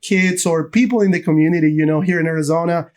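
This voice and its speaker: A man with a high voice hosts a casual podcast conversation. He comes from Ecuador and talks in an effusive, informal way, turning between his guest and his listeners. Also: fairly even intonation, fast talker, darkish tone. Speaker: podcast host. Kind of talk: enthusiastic casual chat.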